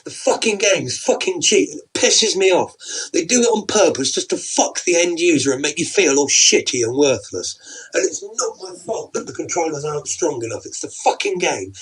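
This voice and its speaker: A hot-tempered man in his forties, as a narrator voices him, ranting and swearing in a raised, husky voice. He pushes every line hard, as if he is blaming everyone but himself. A storybook reading with a harsh edge. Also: fairly high pitch, voice pushed up, poor audio. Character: angry man-child gamer, forties, volatile.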